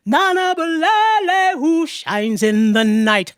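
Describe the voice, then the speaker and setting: A woman storyteller voicing a brave young village girl who calls out in a slow, half-sung chant. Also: very high voice, big pitch swings, no echo, studio quality, soft background music, voice pushed up, full character voice. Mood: bold, pleading. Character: brave young African village girl calling to water creatures.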